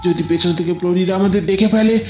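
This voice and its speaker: A man plays an old witch serving a cartoon queen. The high voice is nervous and fretful, always warning of danger. It sounds echoey, with faint music. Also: steady tone, quick pace, lightly acted. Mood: fearful warning. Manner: fearful, warning. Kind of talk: cartoon drama acting. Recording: roomy echo, faint music behind.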